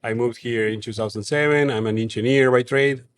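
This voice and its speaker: A man's voice: mid-range voice, steady tone. A man from Argentina with an Argentine Spanish accent, a brewery co-founder talking casually in an interview, with frequent fillers and restarts. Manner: halting, many fillers and restarts.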